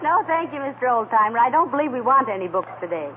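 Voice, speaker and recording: A sensible housewife, affectionately bossy and wry. Her delivery is homespun and dry. The sound is narrow, tinny 1940s radio comedy with clear background noise. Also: fairly high pitch, big pitch swings, fast, over a noisy crowd. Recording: tinny, noisy 1940 radio recording.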